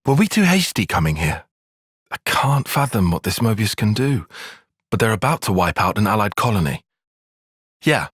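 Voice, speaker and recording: A young tactician for a video game, dryly critical and analytical. His voice has a slightly husky edge and a lively, shifting pitch. The diction is precise and formal, and the sound is dry and close. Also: dry recording, heavily acted. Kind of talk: video game cutscene voice acting.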